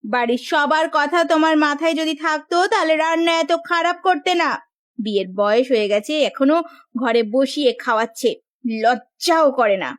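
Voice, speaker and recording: A woman playing a domineering, scolding sister-in-law in a Bengali village cartoon, with a sharp, nagging manner. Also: high voice, normal speed, lightly acted. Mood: scornful, bossy.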